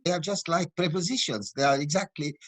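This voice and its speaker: A man taking part in an online lesson discussion, explaining his points in a deliberate, argumentative way. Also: on the high side, dry recording. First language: not Finnish. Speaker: lesson participant. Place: online video call.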